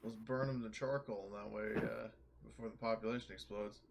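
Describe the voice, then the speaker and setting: A man's voice: lowish voice, fairly even intonation, a little fast, gravelly. A man chatting casually as a tabletop game player over a noisy recording with clicks.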